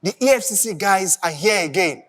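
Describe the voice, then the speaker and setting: A woman's voice: medium pitch, fairly quick, natural voice. A woman playing a deferential Nigerian aide in a stage play, speaking politely. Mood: worried. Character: deferential female aide to a senator. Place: theatre stage.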